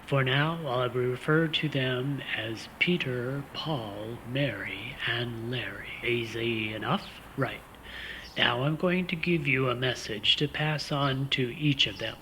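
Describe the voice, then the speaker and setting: A man's voice: mid-range voice, takes it slowly, sharp tone. A man playing a spy in a comedic radio play, on a noisy recording. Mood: stern, impatient. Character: comic pair of male spies, one stern, one dim.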